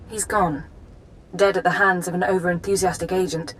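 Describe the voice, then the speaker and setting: An actress playing a brisk, firm intelligence handler briefing an agent in a video game, businesslike and no-nonsense. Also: female voice, lowish voice, level tone, a little hiss or hum, lightly acted, rough recording.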